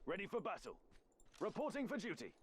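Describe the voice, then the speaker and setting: Game infantry soldier: high, lively pitch, brisk and obedient, clipped military replies. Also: male voice, a loud crowd. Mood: eager, obedient. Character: eager, obedient male infantry and artillery soldier.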